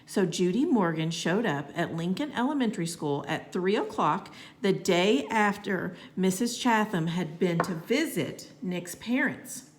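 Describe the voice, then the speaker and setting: Expressive woman reading a children's novel aloud. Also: on the low side. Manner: expressive, deliberate phrasing. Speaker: storybook reader.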